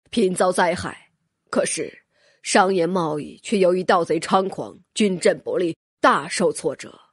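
An actor playing a scheming, obsequious court minister in a fantasy audiobook, speaking in formal, wordy, deferential phrases. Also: male voice, sits high, no room sound, clean studio sound, exaggerated voice. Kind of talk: audiobook character acting.

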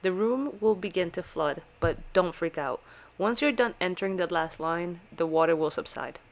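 A woman's voice: fairly low pitch, normal speed, a little hiss or hum. A woman reading scripted, step-by-step tutorial narration for a video game guide.

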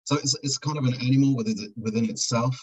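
Middle-aged guest, husky, thoughtful, video-call sound. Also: male voice, mid-range voice, steady tone, quick pace, poor audio. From Britain. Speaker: documentary maker, guest. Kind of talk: casual interview chat.

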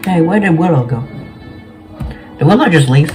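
A man's voice: fairly high pitch, lively intonation, moderate pace. A young male gaming host in casual commentary, with a laid-back, slangy way of talking. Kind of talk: casual gameplay commentary, reading cutscene dialogue aloud. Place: small bedroom, indoors. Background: game music.

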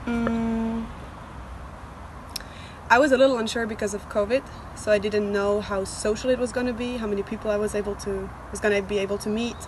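A woman in her twenties from northern Italy, a student giving thoughtful, somewhat hesitant interview answers outdoors. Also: medium pitch. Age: 20s. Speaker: exchange student. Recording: outdoor noise.

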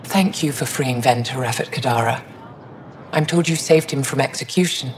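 A woman voicing an elderly, wise and wry alien scholar in a video game, speaking in a measured, dignified way. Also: extremely low pitch, slight background noise. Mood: solemn, reflective. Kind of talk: video game dialogue acting.

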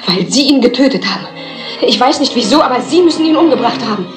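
A woman's voice: a little high, expressive, fast talker, natural voice. An actress playing a desperate, vengeful young woman in a crime drama, intense and accusing. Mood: accusing, grieving. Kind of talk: German-dubbed crime film acting. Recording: echoey, music behind voice.

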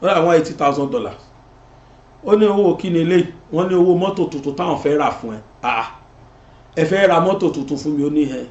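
Animated high-voiced Nigerian man. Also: fast. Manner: animated, switching between Yoruba and English, gesturing. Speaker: commentary show host. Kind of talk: political commentary monologue. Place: indoor studio set. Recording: roomy, dull lo-fi sound.

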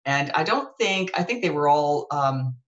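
A woman hosting an interview show, with a deep, dark voice, talking in a chatty way full of restarts and self-corrections, seated on a couch at home in front of a webcam. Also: lively intonation, measured pace, highs cut off. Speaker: show host. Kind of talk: casual interview talk. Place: living room, indoors.

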